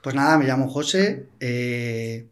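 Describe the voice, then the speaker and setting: Spanish man guest chatting in a studio. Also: mid-range voice. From Toledo, Spain. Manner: relaxed, repeats words and fills pauses. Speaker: podcast guest, hunting platform founder. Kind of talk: casual interview talk. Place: small studio with wood panels and a plant. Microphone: large studio mic on a boom arm, headphones.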